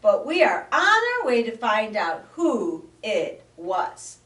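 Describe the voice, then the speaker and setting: A woman's voice: fairly high pitch, wildly swooping pitch, takes it slowly, fairly bright tone, exaggerated voice. A woman as a polite curious possum. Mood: earnest. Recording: roomy, distant mic.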